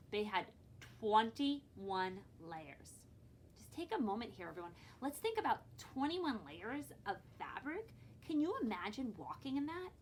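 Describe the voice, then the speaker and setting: A woman with a fairly high, very animated voice reads a children's picture book aloud. She is expressive, stepping out of the text to explain and prompt young listeners. Faint noise sits behind her voice. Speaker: librarian reading aloud to children.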